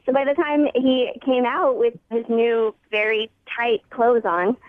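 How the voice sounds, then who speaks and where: A woman's voice: fairly high pitch, fairly even intonation, a little slow, no room sound. Woman on a thin phone line. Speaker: phone-in guest. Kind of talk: casual phone conversation. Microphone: telephone. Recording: thin narrow telephone line.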